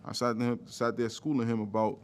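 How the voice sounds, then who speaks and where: Male podcast host, thirties, mid-pitched, slangy and conversational. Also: fairly even intonation, brisk. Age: 30s. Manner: repeats "you know what I mean" often, slangy. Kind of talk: casual podcast chat.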